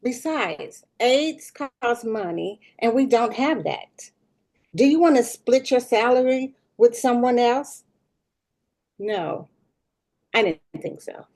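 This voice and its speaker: A woman's voice: a little high, animated, slow pace, darkish tone, full character voice. A woman playing a tone-deaf, attention-seeking school principal in her forties in a sitcom table read, slangy and dismissive. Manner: self-satisfied, dismissive, slangy.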